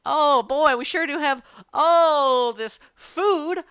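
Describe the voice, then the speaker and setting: A man's voice: extremely high pitch, takes it slowly, sharp tone, dry recording, clean studio sound, voice pushed up, exaggerated voice. A man voicing a wily, exuberant rabbit from a folktale, with a folksy, exclamatory way of talking. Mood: excited, scheming.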